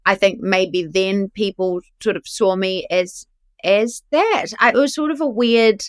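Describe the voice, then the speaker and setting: A woman from New Zealand with a New Zealand accent, telling stories as an actress guest in a casual interview, lively and swearing casually. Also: medium pitch, unhurried.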